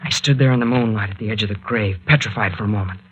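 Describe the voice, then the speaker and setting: An actor playing an earnest, excitable young adventurer in a 1940s radio drama, with a colloquial, solicitous way of talking. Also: male voice, on the low side, fast, lightly acted, poor audio. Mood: shaken. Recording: vintage 1940s radio recording.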